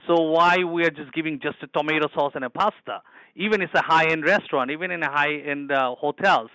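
A man's voice: a little high, sharp tone, no echo, rough recording. A man from Bangladesh with a Bangladeshi accent, a hospital chef telling eager, rambling stories in an interview. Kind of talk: interview answers.

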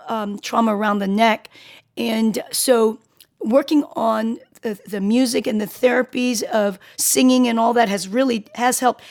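Raspy-voiced woman hosting a radio show. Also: fairly high pitch. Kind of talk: casual interview chat.

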